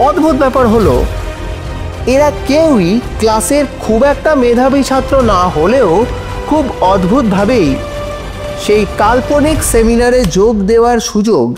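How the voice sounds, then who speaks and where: A man playing an earnest advocate arguing deliberately and respectfully in court, in an audio drama. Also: high voice. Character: Aniket, earnest male advocate arguing a trafficking case in court. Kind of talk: Bengali audio drama acting. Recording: dramatic music under the voice.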